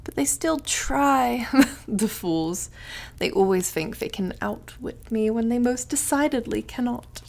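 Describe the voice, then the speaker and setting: A woman's voice: a little high, measured pace, gravelly, a little mellow. A woman voicing a suave, condescending devil in an ASMR roleplay, drawling and over-polite in a theatrical, taunting way. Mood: busy, haughty. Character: suave, mocking, condescending devil who deals in soul contracts.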